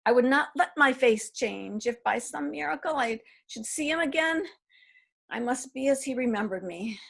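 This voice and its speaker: Husky, somewhat high-voiced woman reading an aging romantic lady, wistful, for a stage reading. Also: lively intonation, normal speed, a bit bright, full character voice. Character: aging woman pining for a lost love. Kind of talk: readers theatre reading.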